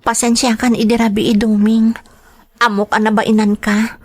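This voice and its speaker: Woman acting a high-voiced earnest young Ilocano sweetheart. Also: little pitch movement, normal speed, lightly acted. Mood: hurt. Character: earnest young woman in love, standing up for herself. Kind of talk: Ilocano radio drama acting.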